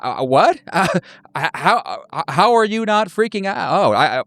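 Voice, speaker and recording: A man's voice: high-pitched, big pitch swings, moderate pace, a bit bright, pitched above the performer's own voice. A man voicing a panicked, flustered young male driver who stammers in disbelief. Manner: stammering, incredulous.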